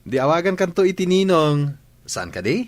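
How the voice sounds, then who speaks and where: A man's voice: fairly high pitch, big pitch swings, moderate pace, slight background noise. A man playing an easygoing, affectionate boyfriend in an Ilocano audio drama, with an Ilocano accent. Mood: bantering.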